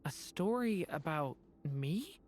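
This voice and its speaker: Gentle, low, slow-spoken dragon boy. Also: animated, slight background noise. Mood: curious. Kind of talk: video game dialogue.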